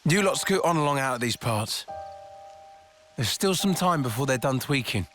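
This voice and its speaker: A man playing an excitable, warm-hearted young soldier in a video game, speaking in a breezy, colloquial way. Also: mid-range voice, normal speed, faint music behind, natural voice.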